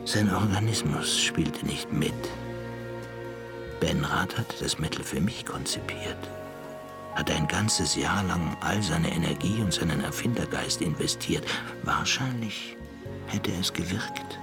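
A male actor playing a thoughtful, brooding half-ape who looks back in hushed, measured radio drama narration with a gravelly voice. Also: music in the background. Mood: sombre. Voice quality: gravelly, breathy low voice.

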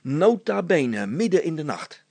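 Storybook role: male narrator as gossipy, pompous village policeman, creaky voice, hesitant and bossy, dry sound. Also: on the high side, big pitch swings, a little slow, a little mellow, no room sound, exaggerated voice. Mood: secretive. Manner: hesitant, confiding, bossy, stammering over words. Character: gossipy, self-important village policeman, adult man.

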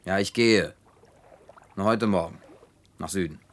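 A man's voice: deep voice, measured pace. An actor playing a stern, stubborn and disapproving man in a German radio drama, blunt and reproachful in delivery.

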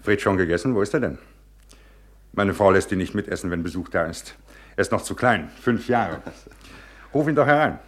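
An actor in a German radio play playing a self-assured husband and host, brisk, commanding and formally hospitable. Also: male voice, low-pitched, animated. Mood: questioning, stern.

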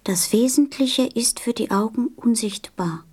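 A woman's voice: a little high, unhurried, a little mellow, slightly rough. A woman playing a tender, innocent little boy prince in a radio play, speaking earnestly and carefully. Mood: thoughtful.

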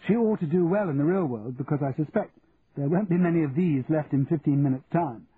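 A man's voice: a little high, brisk, pitched above the performer's own voice. A man voicing a confident young English society woman in an audiobook, gushing and upper-class in accent. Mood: brisk, polite. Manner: gushing, effusive, crisp society politeness. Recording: muffled narrow-band audio with hiss.

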